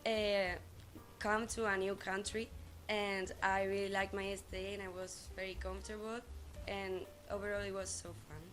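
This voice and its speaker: Even-toned young Spanish woman over music. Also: mid-range voice, normal speed. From Spain. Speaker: exchange student guest. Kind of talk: casual interview answers. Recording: clear music playing behind.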